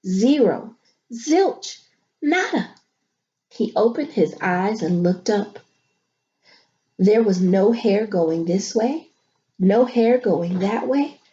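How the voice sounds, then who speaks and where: Very animated, high-voiced woman teacher reading aloud. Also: highs cut off. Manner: expressive, dramatic storytelling with drawn-out emphasis. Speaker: elementary school teacher. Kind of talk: animated picture-book read-aloud and lesson for children.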